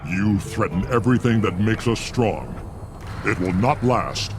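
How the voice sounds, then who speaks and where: A man's voice: fairly low pitch, expressive, measured pace, background noise. A man voicing a hostile, traditionalist alien clan rival in a video game, haughty and contemptuous. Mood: disapproving. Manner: contemptuous, accusing.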